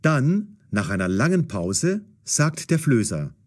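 A man narrating a scripted audio story for language learners in a deliberate, storytelling way. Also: medium pitch, big pitch swings.

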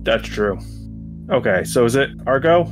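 A man's voice: mid-range voice, expressive, normal speed. A man chatting casually as a player in a tabletop game, joking and swearing. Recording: background music under the voice.

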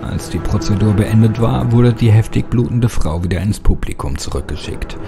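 A man's voice: lowish voice, expressive, normal speed, vocal fry. A man giving a scripted, dramatic first-person narration of a horror story. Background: music.

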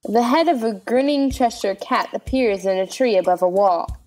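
Woman narrator, slightly high voice. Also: normal speed, darkish tone, low-quality recording. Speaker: narrator reading stage directions. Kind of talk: scripted narration.

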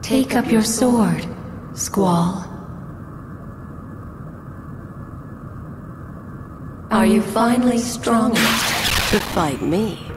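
A woman's voice: mid-range voice, slow pace, over music, lightly acted. A woman playing a menacing, cold, taunting sorceress in a video game, speaking in an imperious, theatrical manner.

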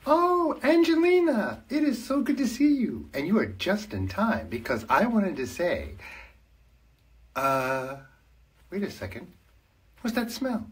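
Husky, bouncy, friendly cartoon bear. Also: mid-range voice, wildly swooping pitch, unhurried, exaggerated voice. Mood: playful, curious. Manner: warm, playful, exaggerated, sing-song, addressing a child. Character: big friendly gentle bear, playful and affectionate. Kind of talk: children's show character giving a personal birthday greeting.

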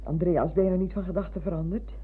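A woman's voice: lowish voice, fairly even intonation, quick pace, breathy edge. An actress playing a motherly, talkative older woman in a radio play, effusive and given to digressions. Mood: fussing. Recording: narrow-band, noisy, humming old radio recording.

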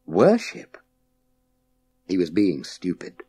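A man reading a children's science fiction audiobook in a measured, suspenseful, dramatic way with deliberate pauses. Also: low voice, huge pitch swings, dark tone, breathy edge, no echo. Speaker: audiobook narrator. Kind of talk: scripted dramatic narration of a children's sci-fi story.

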